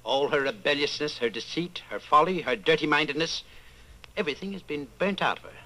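An actor playing a coldly patient, menacing party inquisitor in old-time radio style, measured, condescending and commanding. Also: male voice, a little high, expressive, pushed and bright, rough recording. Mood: calm authority.

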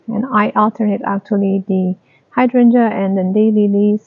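A woman giving a casual tour of her garden, talking in a slow, halting, plain way. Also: medium pitch, steady tone, no echo. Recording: dry, tinny phone-like sound.

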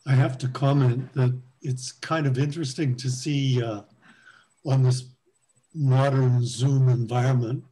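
A man's voice: medium pitch, steady tone, unhurried, dark tone, low-quality recording. An older man making a wry, amused comment on a video call. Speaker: astronomy club member. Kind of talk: casual video call chat.

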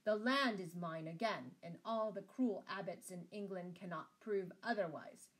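A man's voice: sits high, a little slow, fairly bright tone. A woman voicing a proud, earnest medieval knight with a courtly manner, in a storybook tale. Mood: proud, defiant. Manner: earnest, courtly, pleading or defiant. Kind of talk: storybook character voice.

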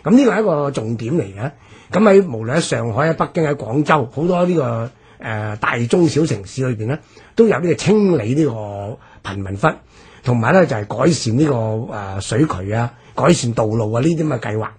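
Very husky Hong Kong man explaining. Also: medium pitch, expressive, fairly quick, darkish tone. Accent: Hong Kong Cantonese. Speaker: history commentator on radio. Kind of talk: explanatory radio discussion.

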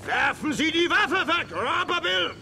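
A man's voice: very high-pitched, very animated, unhurried, creaky, noisy background, heavily acted. A man playing a resolute, commanding lawman in a western children's audio drama.

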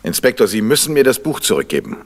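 An actor playing a composed, worried middle-aged gentleman sleuth in a crime radio play, speaking with controlled insistence. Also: medium pitch, big pitch swings, fast, a little hiss or hum. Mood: brisk, serious. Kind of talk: 1970s German crime radio play acting.

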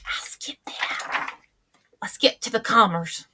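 A woman's voice: fairly high pitch, slow, whispered. A woman voicing a folksy old grandma who reads a storybook aloud in a chatty, homespun, theatrical way. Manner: chatty and theatrical, gasping and exclaiming.

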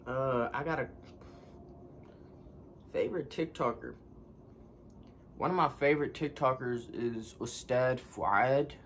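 Twenties male vlogger, low-pitched, casual and unhurried as he fields questions from home. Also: a little hiss or hum. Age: 20s. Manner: relaxed, reads questions aloud then answers offhand. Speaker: content creator answering fan questions. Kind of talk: casual Q&A vlog. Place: living room, seated on a couch.

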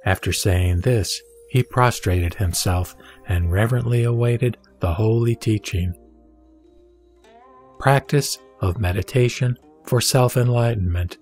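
A man reading a dramatised Buddhist scripture as narrator, in a measured, solemn and formal delivery. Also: lowish voice, a little mellow. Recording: background music under the voice. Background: music.

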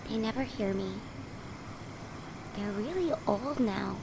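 A woman's voice: medium pitch, expressive, slow pace, creaky, background noise. An actress playing a sad, lonely little girl ghost in a radio play.

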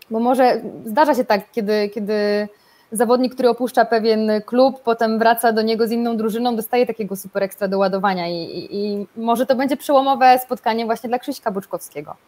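A woman's voice: a little high, steady tone, brisk, a little mellow. A woman, discussing sport casually on a video call as a presenter. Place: indoor room at home, video call. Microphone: video call microphone.

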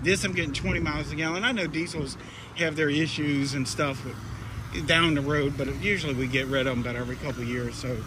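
A man's voice: on the high side, fairly even intonation, fairly quick. A middle-aged man running a pressure washing business, giving a casual, plainspoken how-to walkthrough outdoors at a job site. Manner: plainspoken, folksy, instructive. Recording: faint outdoor background noise.

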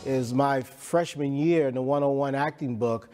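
A man's voice: mid-range voice, fairly even intonation, normal speed, over music. A man in a documentary interview speaking earnestly and casually about his beliefs in theater. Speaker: theater practitioner.

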